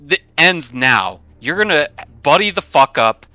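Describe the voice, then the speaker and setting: A man's voice: a little high, very animated, a little slow, bright, forceful tone, dry recording. A man chatting casually as a player in a tabletop roleplaying game, slipping into sweary in-character talk.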